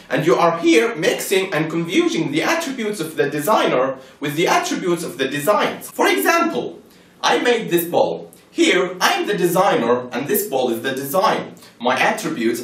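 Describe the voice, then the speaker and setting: A man delivers an emphatic, persuasive narration in an echoey space, a little off the mic. His pitch moves up and down dramatically as he poses rhetorical questions and drives his argument home. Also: a bit bright. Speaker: presenter of a religious argument. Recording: echoey room, distant from the mic.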